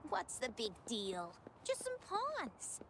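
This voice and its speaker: A brash, fearless young game fighter. The voice is high and wildly animated, swinging up and down with cocky dismissiveness. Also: female voice, slow, slight background noise, heavily acted. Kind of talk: video game voice acting. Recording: game audio with faint background ambience.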